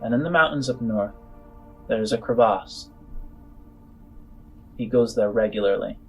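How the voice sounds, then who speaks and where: A weary halfling woman spymaster from a tabletop fantasy game, played by a man. The delivery is measured and formal, with secretive, dark humor, over a music bed. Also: medium pitch, close to an everyday voice, low-quality recording. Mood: grave, secretive.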